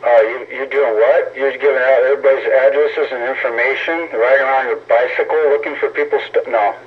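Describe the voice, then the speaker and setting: A man's voice: mid-range voice, fairly even intonation, a little fast, husky. A middle-aged American man arguing over CB radio in a sarcastic, taunting, profane manner. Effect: CB radio transmission. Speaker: CB radio operator. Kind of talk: argumentative radio banter. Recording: tinny CB radio signal, faint static.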